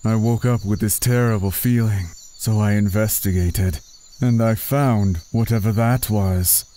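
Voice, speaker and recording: A man playing a troubled, devout forest druid and gentle husband in a fantasy audio drama, speaking in a hesitant, brooding way. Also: on the low side, fairly even intonation, measured pace, a little hiss or hum, natural voice. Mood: worried, subdued.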